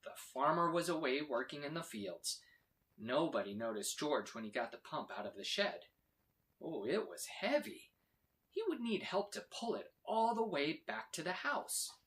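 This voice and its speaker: A man reading a children's story aloud with expressive, dramatic emphasis. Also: on the high side, moderate pace. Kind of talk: animated read-aloud narration for children.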